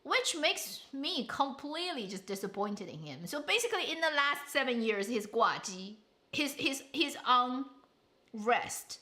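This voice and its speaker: A woman's voice: high voice, bright, forceful tone. A woman hosting a casual solo chat, giving blunt opinions with lots of restarts and trailing asides. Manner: opinionated, rambling with frequent restarts. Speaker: drama review host.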